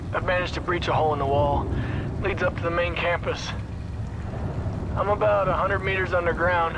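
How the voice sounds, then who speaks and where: Man playing a hapless, higher-voiced stranded scientist, noisy recording. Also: lightly acted. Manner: deadpan, rambling, awkwardly second-guesses himself. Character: hapless stranded male archaeologist, wry, rambling, self-doubting. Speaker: scientist recording audio logs. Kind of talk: video game audio log acting.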